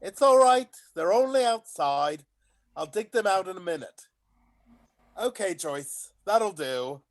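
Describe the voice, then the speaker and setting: A man's voice: high voice, animated, unhurried. A man playing a bumbling, easygoing handyman in a read-through of a stage comedy. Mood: matey, apologetic. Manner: matey, casual. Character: bumbling, easygoing handyman in an amateur panto troupe. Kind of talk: play reading of a stage comedy.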